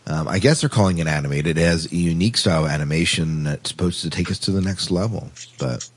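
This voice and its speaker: A man's voice: low voice, quick pace, husky. A man co-hosting a casual podcast, chatting through science fiction news. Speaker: podcast co-host.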